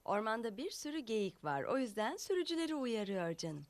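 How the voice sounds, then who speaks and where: A woman's voice: fairly high pitch, big pitch swings, fairly quick, a little hiss or hum. An actress playing a kind, patient young mother in a preschool cartoon dub, affectionate and soothing with her children. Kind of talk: Turkish dubbed preschool cartoon acting.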